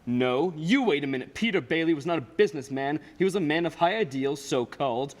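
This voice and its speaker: A man's voice: on the high side, lively intonation, fairly quick, lightly acted. A young man playing a cold, scheming, pompous old rich businessman in a radio play. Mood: scornful, condescending. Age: young adult. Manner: pompous, condescending. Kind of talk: filmed radio play acting. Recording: echoey hall with long reverb.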